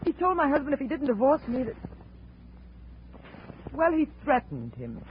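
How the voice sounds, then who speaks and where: A woman's voice: high voice, huge pitch swings, moderate pace. A woman acting a tense, evasive femme fatale wife in a 1950s radio drama, speaking dramatically with hesitations. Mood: agitated pleading. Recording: dull, noisy old radio recording.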